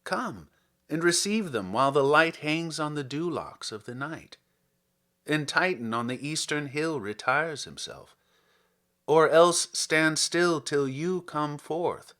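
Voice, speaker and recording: A man reading classic poetry aloud in a theatrical, deliberate and expressive manner, as a podcast host. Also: a little high. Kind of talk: dramatic poetry recitation.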